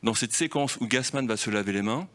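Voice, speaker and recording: A man's voice: medium pitch, brisk, fairly bright tone. A man leading a festival round table on film, talking freely and explaining through rhetorical questions.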